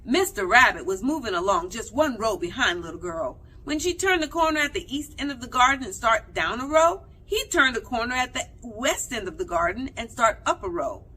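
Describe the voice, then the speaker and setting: High, animated woman storyteller. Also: slight background noise, rough recording. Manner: expressive, deliberate, dramatic. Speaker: storyteller, librarian.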